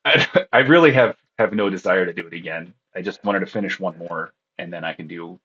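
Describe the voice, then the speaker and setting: A man's voice: deep voice, a little fast, fairly bright tone, slightly rough, highs cut off. A man being interviewed, talking casually in a tired, rambling way and laughing often. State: groggy from lack of sleep. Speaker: interview guest, contest radio operator.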